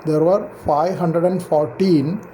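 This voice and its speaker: A man's voice: on the high side, fairly even intonation, slow, dark tone, slight background noise, low-quality recording. A man giving an explanatory, scripted narration for an amateur radio tech video.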